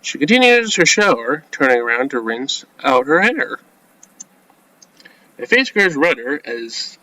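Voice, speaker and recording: A man's voice: a little high, pushed and bright, rough recording. A man reading a visual novel game script aloud, mixing in informal asides and casual commentary as a let's-player. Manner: informal, reacts with asides between read lines.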